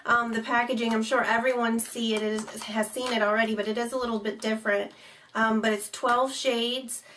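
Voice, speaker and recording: Slightly raspy young woman with a cold. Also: on the high side, little pitch movement, fairly quick, fairly bright tone, distant mic, slight background noise. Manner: chatty, detailed product talk. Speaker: beauty vlogger co-host. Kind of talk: casual chat reviewing makeup.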